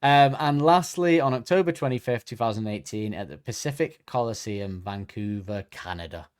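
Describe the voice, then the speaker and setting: Low-voiced man in a casual podcast chat. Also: moderate pace, clear, resonant tone. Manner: chatty, reads facts from notes, corrects himself often. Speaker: podcast co-host.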